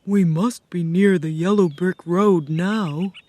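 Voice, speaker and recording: A gentle, earnest scarecrow, as a woman reading a storybook puts him on. The voice is mellow and warm, unhurried, and very dry, with no room sound. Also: lowish voice, no echo. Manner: calm, earnest, slow and persuasive. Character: kindly, gentle male scarecrow.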